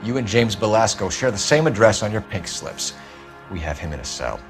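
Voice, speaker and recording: An actor playing a stern, by-the-book police detective in a video game, clipped, formal and authoritative. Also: male voice, fairly low pitch, sing-song, a little fast, soft background music. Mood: stern, pressing. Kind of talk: scripted video game dialogue.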